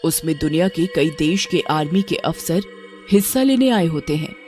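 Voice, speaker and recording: Woman narrating a story, slightly low voice, bit of music behind. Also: animated, moderate pace, a little mellow.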